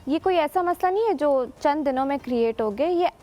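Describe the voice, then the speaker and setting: A woman, an environmental scientist guest on a morning show, explaining her points in a measured, lecturing way. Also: sits high, animated, warm tone. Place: TV studio.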